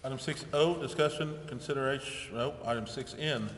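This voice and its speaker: A man's voice: mid-range voice, brisk. Male meeting chair, formal agenda reading, long room reverb with faint noise. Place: indoor council chamber. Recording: echoey council chamber, faint noise.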